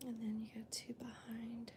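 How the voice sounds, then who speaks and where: A woman hosting a crochet video in a soft, whispery voice, calmly counting stitches aloud as she works. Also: on the high side, normal speed, noisy background. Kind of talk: soft-spoken crochet demonstration and chat.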